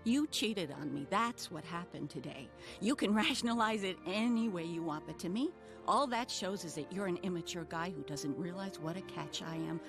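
A woman's voice: fairly low pitch, lively intonation, normal speed, over music. An actress voicing an elderly woman with fussy, old-fashioned speech in a cartoon. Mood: stern. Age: older.

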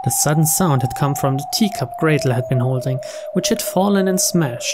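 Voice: medium pitch, unhurried, noisy background. A narrator reading visual novel narration aloud.

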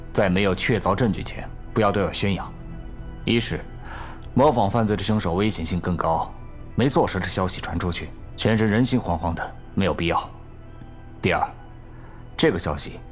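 A man playing a middle-aged police bureau chief, measured, deliberate and authoritative, in an audio drama. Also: low-pitched, lightly acted. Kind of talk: Chinese audio drama acting.

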